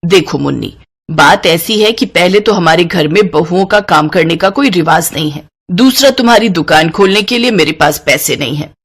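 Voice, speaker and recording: A woman's voice: lowish voice, lively intonation, normal speed, husky. A woman voicing a stern older mother-in-law in a cartoon moral story, curt and scolding in manner. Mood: sarcastic, dismissive. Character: stern, sharp-tongued older mother-in-law, gruffly caring.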